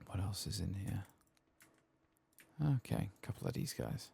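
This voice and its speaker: A man doing soft-spoken ASMR commentary as he plays a video game, murmuring to himself in a low-key way with a gravelly voice. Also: steady tone, normal speed. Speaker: gaming streamer. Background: keyboard typing and mouse clicks.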